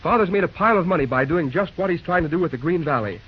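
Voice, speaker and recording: A man's voice: on the high side, lively intonation, quick pace, husky. An actor playing a brash, determined young American railroad man in a breezy, wisecracking old radio drama style. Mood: defiant. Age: young adult. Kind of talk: 1940s radio acting. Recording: muffled vintage radio recording.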